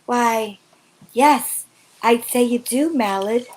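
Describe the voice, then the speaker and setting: A woman's voice: a little high, slow pace, darkish tone, poor audio. A woman playing a feisty, wry heroine in an old-time radio show, with sassy, theatrical delivery. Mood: playful. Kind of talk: hobby old-time radio acting with first-person narration.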